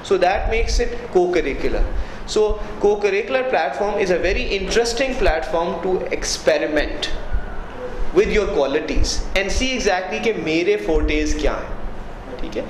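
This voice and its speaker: A man's voice: high-pitched, expressive, fairly quick. A man from Pakistan, a university director of student affairs, giving earnest, explanatory interview answers and often adding "you see". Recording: echoey room with background noise.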